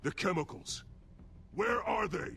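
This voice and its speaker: Volatile gangster, raspy and high, slow drawl. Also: male voice, animated, background noise, heavily acted. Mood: sneering. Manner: sneering, explosive.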